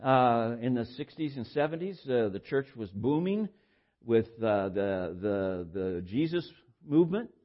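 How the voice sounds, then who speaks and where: An older man with a baritone voice preaching informally, halting and repeating words as he shares personal stories in a conversational way. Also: animated, dull audio. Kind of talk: informal sermon with personal anecdotes.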